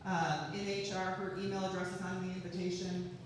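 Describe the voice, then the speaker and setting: A man's voice: sits high, little pitch movement, brisk, a little hiss or hum. A man presenting updates at a company staff meeting in a casual, chatty way with many ums. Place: large meeting room with staff audience. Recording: echoey hall, far from the mic.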